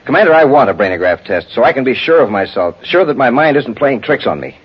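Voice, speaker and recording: An actor playing a troubled, respectful space patrol lieutenant, formal and hesitant, in a 1950s radio drama. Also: male voice, mid-range voice, very animated, fast, breathy edge. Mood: respectful, uneasy. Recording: dull old radio recording.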